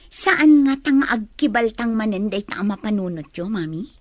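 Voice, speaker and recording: A woman's voice: medium pitch, animated, normal speed, a little mellow, no room sound, studio quality, natural voice. An actress playing a scheming young office woman who leans on her mother, in an Ilocano radio drama. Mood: doubtful, peevish.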